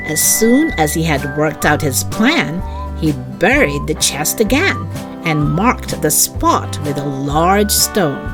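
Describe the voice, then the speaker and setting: A woman reading a story aloud to children in an expressive, deliberate, child-directed way. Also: deep voice.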